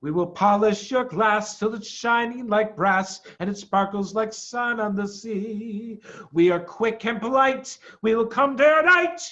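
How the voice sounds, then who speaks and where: A man's voice: sits high, measured pace, bright, forceful tone, pitched above the performer's own voice. A man voicing a skinny, cheerful, boastful monkey from a storybook, in a bouncy singsong of rhymes. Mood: cheerful, singing. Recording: dull, muffled sound.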